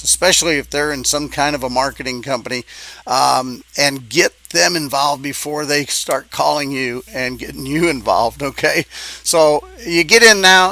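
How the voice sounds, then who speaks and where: A man giving an informal sales pitch as a business coach, talking in a halting, conversational way and checking in with the listener. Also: pushed and bright.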